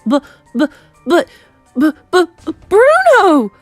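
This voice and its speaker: Pompous middle-aged English dad, played by a woman narrator, indignant and spluttering, loud swooping delivery for a storybook. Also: very high voice, wildly swooping pitch, takes it slowly, no echo, soft background music, voice pushed up, heavily acted. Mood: stammering shock. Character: blustering outraged English father, pompous middle-aged man.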